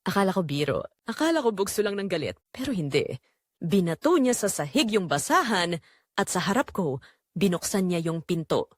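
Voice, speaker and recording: A woman's voice: lowish voice, very animated, moderate pace, no room sound, clean studio sound. A woman with a Filipino accent playing a weary, anguished migrant-worker mother, telling her story in an emotive, confiding audio drama narration.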